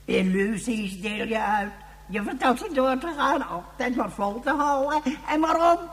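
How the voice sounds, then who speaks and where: A man playing a mocking, offended old man in a radio play, with a creaky, eerie voice and a theatrical, repetitive way of talking. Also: very high-pitched, a little slow, a bit bright, heavily acted. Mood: bitter, disillusioned. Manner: obsessively repeats insults, theatrical, sardonic. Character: embittered, offended, mocking old man who refused rejuvenation.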